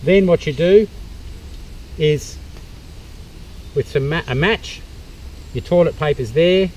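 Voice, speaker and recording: A middle-aged Australian man with a warm, relaxed voice walks through a bushcraft skill step by step, pausing on fillers as he kneels and works. He is recorded outdoors in a forest by a camera a few metres off. A faint hum of background noise sits under his voice. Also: fairly high pitch, far from the mic, poor audio. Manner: practical, explaining step by step with fillers. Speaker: bushcraft instructor and tour guide. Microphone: camera mic from a few metres away.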